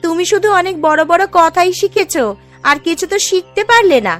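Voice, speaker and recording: A woman's voice: very high-pitched, fairly quick, soft background music. A woman voicing a snide, boastful, nosy village housewife in a cartoon, taunting as she talks. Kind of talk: cartoon story dialogue.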